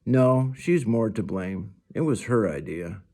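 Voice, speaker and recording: Storybook kid, sulky, weary and reluctant, creaky edge, read by an adult man. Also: on the low side, expressive, slow, mellow, exaggerated voice. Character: sullen, tired young boy, guarded with his parents.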